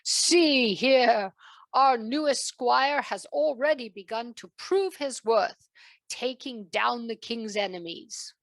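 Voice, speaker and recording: A woman voicing a kindly, regal King Arthur in a storybook, with a measured British accent. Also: on the high side, lively intonation, no echo. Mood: proud, ceremonial. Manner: soft, measured, regal speech. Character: warm, kindly King Arthur, adult man, amused and regal.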